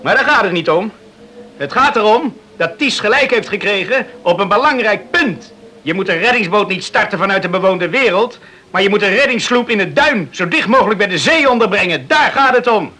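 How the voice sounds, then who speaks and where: An island lifeboatman in a radio drama, high-pitched and plain-spoken. He argues eagerly and emphatically for his reward. The recording is clearly noisy, with outdoor sound effects behind him. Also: lively intonation, brisk, sharp tone. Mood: insistent. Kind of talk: radio play acting.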